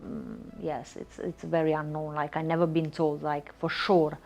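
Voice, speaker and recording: Woman, deep and creaky, hesitant interviewee answers. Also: level tone, moderate pace, darkish tone, faint music behind. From Romania. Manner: hesitant, many fillers and repeated words. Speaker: patient.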